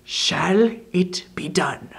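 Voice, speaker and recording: A man's voice: sits high, lively intonation, slow pace, creaky, a bit bright, breathy edge, heavily acted. A man doing a sneering, spiteful dwarf. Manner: sneering, hissing, contemptuous. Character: spiteful scheming male dwarf, contemptuous.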